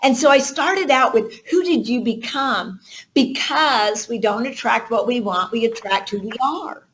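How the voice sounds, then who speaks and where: Slow, high-voiced woman coaching a group. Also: fairly bright tone, a little hiss or hum. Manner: emphatic, checks in with rhetorical questions. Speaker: business coach leading a group call. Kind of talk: motivational coaching, interactive teaching with questions to the group. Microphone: conference call line. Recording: muffled lo-fi conference call.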